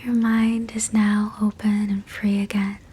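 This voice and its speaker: A woman guiding a sleep meditation in a breathy whisper, soothing and gentle, with measured phrasing. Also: medium pitch, little pitch movement. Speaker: sleep hypnosis guide.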